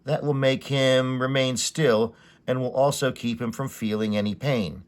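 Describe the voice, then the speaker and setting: A man's voice: medium pitch, steady tone, unhurried, lightly acted. A man voicing an aloof, coolly curious adult giant from a comic story, speaking in formal, stilted pronouncements. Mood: clinical.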